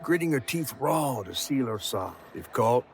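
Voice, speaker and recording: An actor playing a solemn storyteller, narrating a tragic folk tale in a video game with literary, suspenseful phrasing. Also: male voice, mid-range voice, very animated, measured pace, a little hiss or hum. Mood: tense, grave. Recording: music under the voice.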